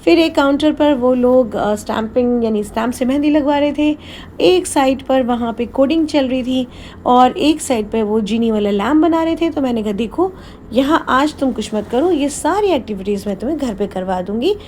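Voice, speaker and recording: A woman's voice: high voice, animated, moderate pace, darkish tone. A woman narrating a family vlog, mixing English phrases into her speech. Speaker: family vlogger. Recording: background noise behind voice.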